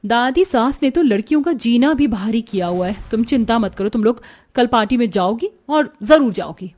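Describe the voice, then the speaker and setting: A woman voicing a spirited young daughter-in-law, expressive and pleading, in a cartoon story. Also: fairly high pitch, normal speed. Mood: reassuring. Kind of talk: Hindi cartoon story voice acting.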